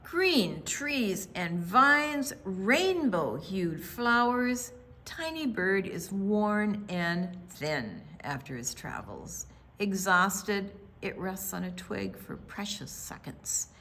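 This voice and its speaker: Slow, expressive American woman reading a storybook. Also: mid-range voice. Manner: dramatic pauses, hushed asides, emphatic delivery for young children. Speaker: children's storytime reader at a library. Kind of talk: read-aloud picture book storytelling.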